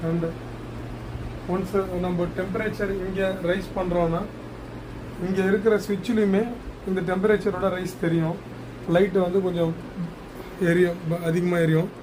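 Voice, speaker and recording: Male product demonstrator, high register, little intonation, halting unscripted explanation with English terms, noisy recording. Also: little pitch movement. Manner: halting, repeats words while explaining.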